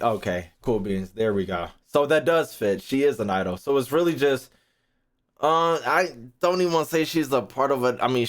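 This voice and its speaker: A man thinking aloud as a gaming streamer, casual and slangy, swearing freely, repeating phrases and trailing off mid-thought. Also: mid-range voice.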